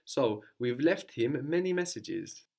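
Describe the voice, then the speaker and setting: A man's voice: medium pitch, very animated, slow, creaky, dark tone. A man teaching a language lesson, patiently prompting his student with questions and repeating the answers back.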